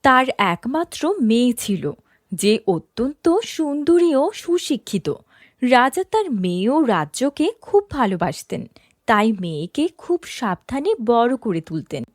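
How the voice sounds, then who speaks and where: Female storyteller for scripted fairy-tale narration; animated intonation, unhurried delivery. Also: a little high, no echo, studio quality.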